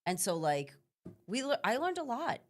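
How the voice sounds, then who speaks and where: A woman co-hosting a morning TV show, chatting casually and telling animated stories with frequent laughter. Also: a little high, normal speed, a little hiss or hum. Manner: chatty, animated storyteller who laughs often. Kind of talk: casual chat. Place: TV studio.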